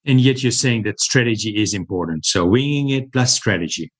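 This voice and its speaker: Upbeat American man, lowish voice. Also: expressive, fairly bright tone. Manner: upbeat, halting, many restarts. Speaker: podcast host. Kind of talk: podcast interview.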